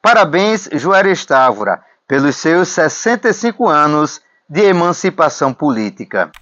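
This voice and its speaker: A man's voice: on the high side, big pitch swings, normal speed, fairly bright tone, no echo. A man reading a scripted, celebratory documentary narration in a declamatory way. The voice-over sounds dry and slightly dull.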